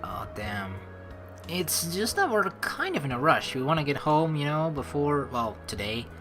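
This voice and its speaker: A woman playing through a game as host, reading its text aloud and chatting with playful, expressive commentary. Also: low-pitched, normal speed, music in the background. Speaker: let's play host.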